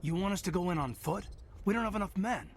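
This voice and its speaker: A man's voice: high voice, animated, fast, slight background noise. An actor playing an earnest, supportive male soldier in a video game. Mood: doubtful.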